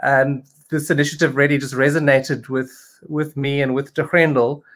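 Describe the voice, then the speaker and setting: A man in his forties, a wine producer answering interview questions over a video call, friendly and halting with many ums. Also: medium pitch, level tone, a bit bright. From South Africa. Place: home study with bookshelves.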